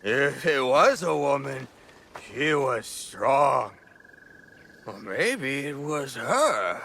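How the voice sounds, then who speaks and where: A rough game thug with a heavily creaking, gravelly voice. He is a drunken brute with a short temper, halting and slow in his speech, with a hard forceful edge. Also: mid-range voice, sing-song, full character voice. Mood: cowed, halting. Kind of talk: video game cutscene acting.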